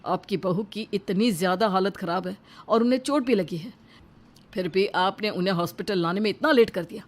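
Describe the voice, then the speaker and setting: A woman's voice: mid-range voice, fairly quick, lightly acted. A woman voicing a stern male authority figure in a dramatic moral story, firm and admonishing. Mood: grave. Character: stern male authority: doctor and household patriarch.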